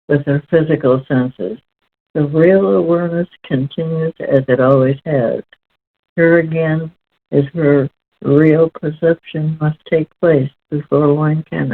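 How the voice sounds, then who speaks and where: A woman reading spiritual text aloud as host, in a measured, deliberate way with halting phrasing. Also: deep voice. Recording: muffled, very narrow lo-fi sound.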